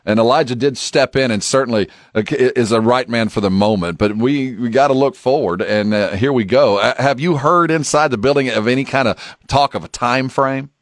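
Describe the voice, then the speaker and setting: A man's voice: medium pitch, normal speed, few pauses, dry recording, clean studio sound. A man hosting a morning sports radio show in a conversational style.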